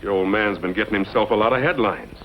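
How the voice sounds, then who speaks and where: An actor playing a boastful, cold-blooded outlaw in an old western, talking in slangy, menacing lines. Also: male voice, lowish voice, fast, natural voice. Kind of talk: 1940s western film acting. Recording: dull, noisy old film soundtrack.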